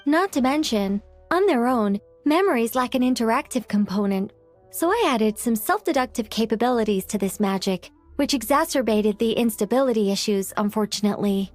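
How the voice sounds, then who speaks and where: Earnest, precise young game mage woman actor, higher register, formal and technical. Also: animated, moderate pace, soft background music, close to an everyday voice. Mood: apologetic. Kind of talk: anime game voice acting.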